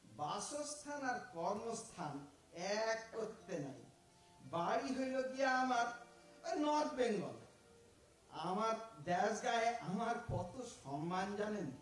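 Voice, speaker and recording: An actor playing a cheeky small-time village thief with an East Bengal rural dialect, talking in a sly, cocky drawl in a radio play. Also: male voice, high voice, very animated, slow pace, full character voice. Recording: echoey room, far from mic.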